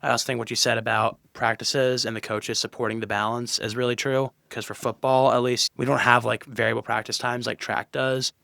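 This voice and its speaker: Young guy hosting a podcast, bright gravelly voice, super dry close mic. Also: medium pitch, fairly quick, few pauses, dry recording. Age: young adult. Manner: relaxed, asks long rambling questions. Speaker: podcast host. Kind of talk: casual interview chat.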